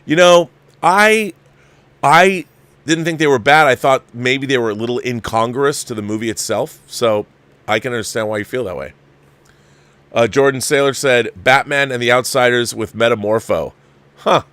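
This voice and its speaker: A man's voice: medium pitch, a bit bright, no echo. A man hosting a livestream, giving casual film commentary and answering viewers, repeating phrases for emphasis and often restarting his sentences. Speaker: livestream host reviewing a film.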